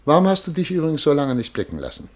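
An actor playing a vain, imperious army major in a German radio play, barking suspicious commands and questions. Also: male voice, fairly high pitch, very animated, rapid-fire. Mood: probing, indignant.

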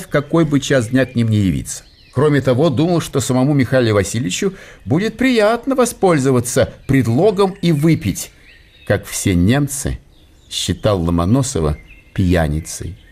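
A man narrating a literary radio drama in an expressive, wry storytelling manner. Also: fairly high pitch.

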